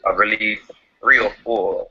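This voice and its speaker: A man's voice: fairly low pitch, fairly even intonation, unhurried, sharp tone, breathy edge, dull audio. A man from London, a guest hip hop artist introducing himself casually, easygoing and hesitant with many fillers. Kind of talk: casual chat.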